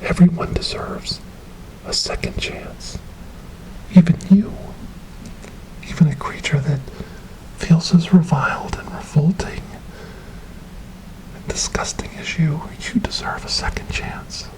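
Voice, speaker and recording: A man playing a soothing, eerie asylum helper in a horror ASMR roleplay, coaxing a tormented creature with hushed, repetitive phrases. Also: fairly high pitch, takes it slowly, long pauses, low-quality recording.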